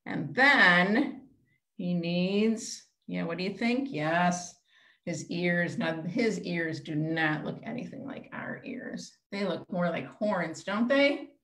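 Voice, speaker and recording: Slow, echoey woman leading children's storytime. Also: lowish voice, a bit bright. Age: middle-aged. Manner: simple questions and short phrases, as to small children. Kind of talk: interactive storytime for young children. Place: small room at home, indoors. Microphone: computer mic on a video call, a step away. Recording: roomy echo, webcam video call.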